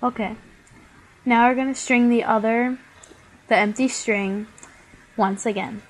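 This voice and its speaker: A woman's voice: a little high, fairly even intonation, a little slow, low-quality recording. A young woman, stuffy from a cold, chattily talking through a bead craft tutorial step by step. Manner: chatty, many "like" fillers.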